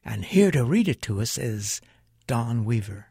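Male radio host, scripted intro, gravelly voice with lively swings in pitch. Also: measured pace.

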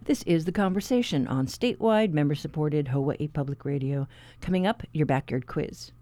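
A man hosting a public radio show, introducing segments and reading a quiz in a measured, clear announcer manner into a studio microphone. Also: fairly high pitch, animated, warm tone, faint music behind.

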